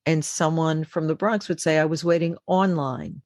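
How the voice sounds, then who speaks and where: Chatty low-voiced woman voice actor. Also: no echo, clean studio sound. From Boston, United States. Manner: chatty and animated, quick restarts. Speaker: voice actor guest. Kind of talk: conversational interview.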